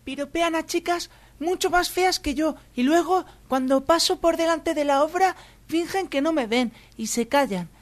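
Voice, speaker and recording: A woman's voice: high voice, normal speed, lightly acted. A woman voicing a vain, indignant young woman in a comedic radio sketch, complaining in an aggrieved tone. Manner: aggrieved and self-pitying.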